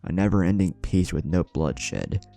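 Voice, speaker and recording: A man's voice: deep voice, big pitch swings, moderate pace, gravelly, mellow, soft background music, exaggerated voice. A man voicing a stoic, grandiose villain leader who sees himself as a god, in a narrated story. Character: stoic male villain leader, grandiose, godlike, easily angered.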